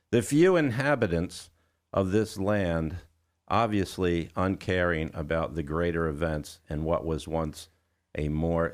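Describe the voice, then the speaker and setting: A man hosting a radio interview in a casual, folksy and emphatic way, at times reading copy aloud. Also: low-pitched.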